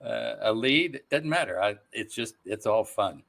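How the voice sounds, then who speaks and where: A man's voice: fairly low pitch, lively intonation, normal speed, creaky, fairly bright tone. An older man chatting casually as a guest actor in an interview, easygoing and given to chuckling. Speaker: voice and stage actor, podcast guest.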